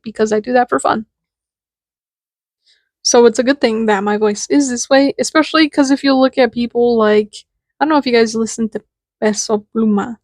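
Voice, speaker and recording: Young woman, nasal voice, fairly high, casual rambling talk, dry close sound. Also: no room sound. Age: 20s. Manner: candid, rambling, swears casually. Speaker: podcast host. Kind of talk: casual reflective monologue.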